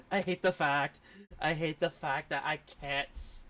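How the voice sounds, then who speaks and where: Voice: mid-range voice, lively intonation, a little slow, fairly bright tone. A game streamer chatting casually over live play, rambling and easily flustered with a giggly manner. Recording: music behind the voice. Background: game music.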